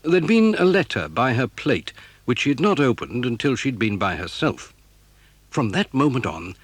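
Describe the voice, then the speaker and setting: A man's voice: mid-range voice, slightly rough, no echo. A man reading scripted narration for a children's audio drama, telling the story.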